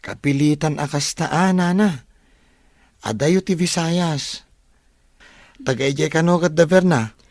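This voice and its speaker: A man playing a grown son reassuring his worried mother, with a gravelly voice, in a Philippine radio drama. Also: on the high side, takes it slowly, long pauses, a little mellow, no echo, clean studio sound.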